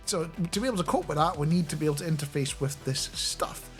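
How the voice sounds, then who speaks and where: A middle-aged man giving a casual, chatty and animated explainer into a close studio microphone. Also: fairly high pitch, fast, faint music behind. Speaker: radio producer and software developer presenting a video. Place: small home studio indoors. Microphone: close studio microphone on a boom arm.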